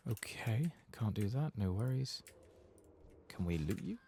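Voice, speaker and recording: A man giving soft-spoken, sometimes whispered ASMR game commentary, muttering casually and swearing to himself. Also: on the low side, sing-song, measured pace, slight background noise. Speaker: ASMR gaming streamer.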